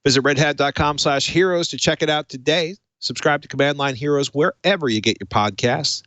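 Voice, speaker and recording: A man's voice: a little high, a little fast, bright, forceful tone, no room sound. A man hosting a casual tech podcast in a conversational, wry way, moving between chat and read-out copy. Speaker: podcast host. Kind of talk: casual tech talk show with a read sponsor message.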